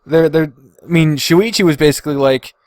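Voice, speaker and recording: Male podcast host, slightly high voice, dry close-miked sound, casual and opinionated, swears freely. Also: a little fast, darkish tone, no echo. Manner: blunt, frequent swearing.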